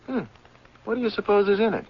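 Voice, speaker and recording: A young professor in a seventies radio drama, inquisitive and playfully grumbling. His voice is fairly high and quite husky. The old broadcast sound is muffled. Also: fairly even intonation, fast. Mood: curious. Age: young adult. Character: curious young male professor, mildly complaining, playful. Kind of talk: 1970s radio drama acting.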